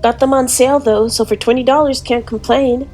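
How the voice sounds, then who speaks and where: A woman's voice: high-pitched, steady tone, a little fast, dark tone, soft background music, close to an everyday voice. A woman voicing a polite, easygoing streamer replying in chat, casual and agreeable, in a dramatized reading of messages.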